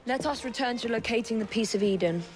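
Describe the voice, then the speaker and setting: Woman voicing a proper young lady assassin, crisp and calm, bit high. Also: fast talker, faint music behind, natural voice. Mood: composed.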